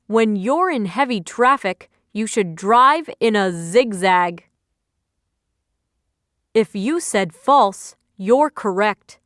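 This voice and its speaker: A woman's voice: medium pitch, expressive, slow pace, fairly bright tone, no room sound, studio quality. A woman reading a scripted vocabulary quiz for learners in a carefully phrased, instructional way. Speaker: vocabulary teacher.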